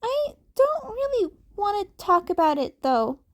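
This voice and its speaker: A woman's voice: very high voice, big pitch swings, measured pace, voice pushed up, exaggerated voice. A woman voicing a cheeky young cat boy in a fan fiction audiobook, polite and innocent on the surface but defiant. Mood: upset, evasive.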